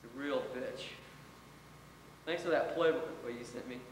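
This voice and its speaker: A man plays a young American soldier, weary and wry, in a readers theater performance of a letter home. His mid-pitched voice rings around a reverberant hall with some background noise. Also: normal speed, lightly acted. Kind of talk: dramatic reading of war letters. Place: college theater stage. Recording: echoey hall from afar, noisy.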